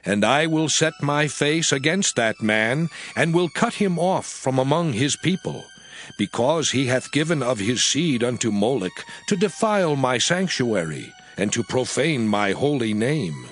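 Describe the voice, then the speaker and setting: A man playing God as a stern lawgiver, declaiming archaic scripture in a solemn, measured, emphatic way. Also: mid-range voice, animated, a bit bright. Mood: stern, grave. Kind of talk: dramatized scripture reading in archaic English. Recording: music under the voice. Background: music.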